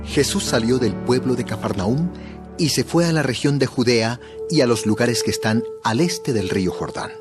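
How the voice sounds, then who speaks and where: A man narrating a dramatized Bible story in a scripted, measured storytelling manner. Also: mid-range voice, over music.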